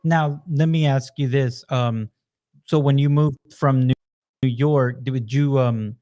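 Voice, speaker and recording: Male podcast host from Texas, mid-pitched and conversational, rambling and loose in his questions. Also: steady tone, slow, mellow. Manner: loose, rambling questions with many "you know"s. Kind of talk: casual podcast interview.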